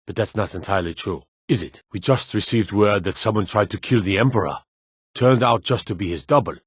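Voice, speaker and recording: An actor playing a gruff town guard in a fantasy video game, speaking in terse, emphatic, gossipy remarks. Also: male voice, fairly low pitch, brisk, dry recording, studio quality. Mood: wary, gossiping. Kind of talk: fantasy game character barks.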